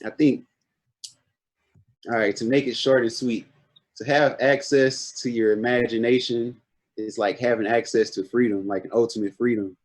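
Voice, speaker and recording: A man in his late twenties talks over a video call for a podcast interview. He is relaxed and rambling, trailing off and circling back as he searches for words. His voice sits at an ordinary middle pitch. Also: normal speed. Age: 20s. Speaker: guest artist.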